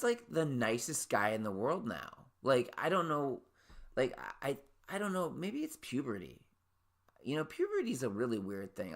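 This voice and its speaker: A man in his late thirties hosting his podcast in a casual solo monologue. His voice sits naturally high, and he rambles with lots of restarts and fillers. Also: fairly bright tone. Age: late 30s. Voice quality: naturally high voice he can drop lower. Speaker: podcast host.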